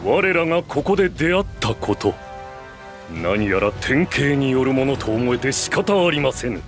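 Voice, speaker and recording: An actor playing a grave, honourable bearded warrior in a video game, with solemn, archaic formal speech. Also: male voice, mid-range voice, expressive, measured pace, faint music behind, lightly acted. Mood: grave, respectful. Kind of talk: video game cutscene voice acting.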